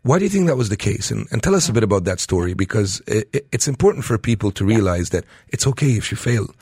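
Guy co-hosting a studio show, lowish voice, trips over words, dry mic. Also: animated, fast, a little mellow, slightly rough, no room sound. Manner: stumbling, repeats words. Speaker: radio co-host. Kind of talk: studio interview. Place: radio studio.

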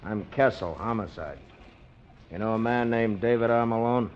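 Man as hard-boiled police interrogator, gruff and pushy, fairly deep, old muffled radio recording. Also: normal speed, lightly acted. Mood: curt, businesslike. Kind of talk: 1940s radio acting. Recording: muffled, slightly noisy 1940s radio recording.

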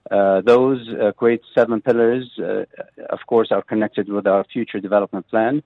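A man with a fairly low voice speaks over a thin, phone-like line. His delivery is halting, packed with fillers and restarts, as he explains things to a radio host. The sound is dry and close. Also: steady tone, no echo. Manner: hesitant, many fillers and restarts. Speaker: pavilion representative guest. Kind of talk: radio interview.

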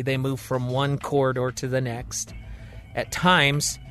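A man telling a serial thriller in steady, expressive scripted narration. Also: medium pitch. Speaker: storyteller.

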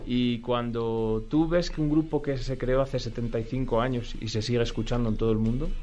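This is an older man from Spain who speaks with a Spanish accent and a mid-range voice. He is a guest musician telling long, affectionate stories in a radio studio. Soft music plays faintly beneath him. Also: mid-range voice, fairly even intonation, a little fast. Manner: expansive, fond storytelling. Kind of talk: radio interview chat.

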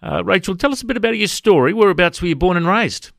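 A man's voice: sits high, quick pace, vocal fry, no echo. Dry-sounding male radio interviewer. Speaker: interviewer, host.

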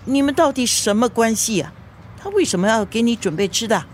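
An actress in a radio drama playing a nosy, excitable middle-aged mother with a Singaporean accent, probing and exclamatory. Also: on the high side, fairly quick, slight background noise, close to an everyday voice. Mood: suspicious, indignant.

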